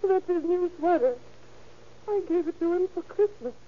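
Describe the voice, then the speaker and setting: An actress playing a fragile, grieving mother in a 1930s radio drama, halting and tearful. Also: very high-pitched, expressive. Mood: distraught. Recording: muffled old radio recording.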